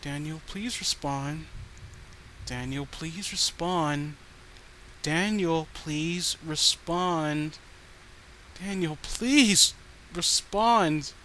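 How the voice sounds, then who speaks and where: A man's voice: on the high side, extremely slow, bright, forceful tone, exaggerated voice. A man voicing an unhinged, possessive girlfriend who is volatile, pleading and cloying, in a horror story reading. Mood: desperate pleading. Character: unhinged clingy murderous girlfriend, possessive, swinging from rage to sweetness. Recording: noticeable background noise.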